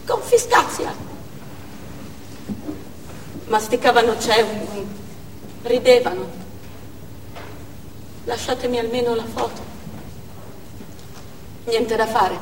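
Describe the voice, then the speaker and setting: An actress playing a grieving young Chechen war widow in a stage monologue, speaking in stark, halting short phrases. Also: female voice, a little high, fairly even intonation, recorded from a distance. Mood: harsh mimicry. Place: theatre stage, distant microphone. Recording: echoey theatre hall from afar, noisy.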